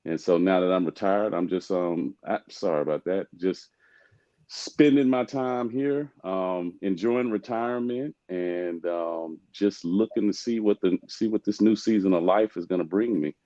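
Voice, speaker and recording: A man's voice: low-pitched, unhurried, dark tone, no echo. A man in his forties from Texas, talking casually as a guest in a polite, hesitant way on a muffled microphone. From Texas, USA. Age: 40s. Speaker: show guest, retired soldier. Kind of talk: casual self-introduction.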